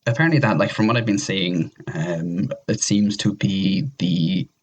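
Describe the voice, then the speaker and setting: A man's voice: deep voice, a little slow, slightly rough, lo-fi sound. A man chatting casually as a podcast co-host, rambling and hesitant, with casual swearing. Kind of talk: casual chat about visual novels.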